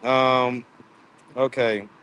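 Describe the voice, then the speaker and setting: A man's voice: mid-range voice, level tone, drawn-out words, a bit bright, clean tone. A man with a slight lisp, hosting a casual livestream and chatting with viewers as he reads out their comments. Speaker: livestream host. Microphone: streaming mic.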